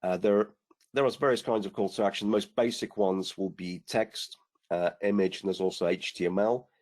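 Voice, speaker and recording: A man with a lowish, slightly hoarse voice talks through a software demo over a video call. He explains patiently, filling gaps with "uh" and "um" and restarting his sentences. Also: normal speed. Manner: relaxed explaining, many fillers and restarts. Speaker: product demonstrator on a webinar. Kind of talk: screen-share software demo.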